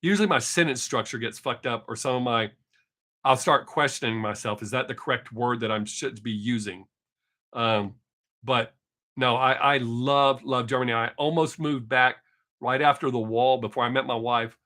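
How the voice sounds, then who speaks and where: A man's voice: medium pitch, moderate pace, sharp tone. A man in his fifties with a Texan accent, chatting casually and rambling on his live stream with some cursing. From Texas. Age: 50s. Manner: rambling, profane, chatty with viewers. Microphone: webcam mic.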